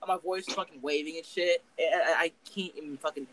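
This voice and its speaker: A young man co-hosting a casual podcast, rambling and swearing freely as he tells animated stories. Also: a little high, brisk, poor audio. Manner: profane, rambling, animated storytelling. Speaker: podcast co-host.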